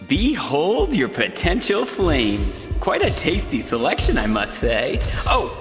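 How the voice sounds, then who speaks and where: Music plays under a campy game-show host whose highish voice swings wildly in pitch. He is bossy and gleeful, announcing everything with dramatic flair. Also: wildly swooping pitch, slow pace, heavily acted. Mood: gleeful, teasing. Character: theatrical, gleefully bossy male reality dating show host. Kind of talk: scripted game dialogue and show narration. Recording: echoey hall reverb with loud music.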